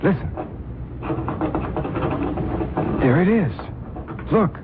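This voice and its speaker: A man's voice: sits high, expressive, measured pace. A man acting an earnest, insistent newspaper reporter in an old radio drama. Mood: uneasy, awed. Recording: noisy, tinny old radio recording.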